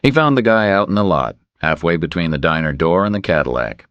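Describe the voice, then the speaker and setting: Really deep guy narrating a crime audiobook, lively pitch, dry studio sound. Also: fairly quick, dry recording, highs cut off. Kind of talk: scripted thriller narration.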